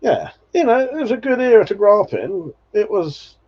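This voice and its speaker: A man's voice: sits high, very animated, moderate pace. Man in his forties, swearing casually. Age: 40s. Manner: nostalgic, swears freely, laughs often. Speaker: livestream host. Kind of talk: casual chat reading viewer comments. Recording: dull lo-fi stream audio.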